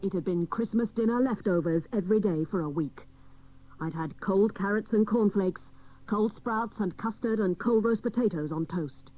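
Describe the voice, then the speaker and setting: A dotty female private detective , played by an actress narrates a comic radio play. Her mid-pitched voice is deadpan and mock-dramatic, spoofing hard-boiled crime stories. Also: normal speed, close to an everyday voice, rough recording.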